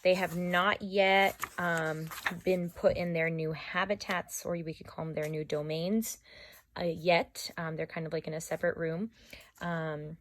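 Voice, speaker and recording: A woman speaking as an elementary school teacher, casually and haltingly introducing a read-aloud for children. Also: on the low side, little pitch movement, a little hiss or hum. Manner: halting, informal.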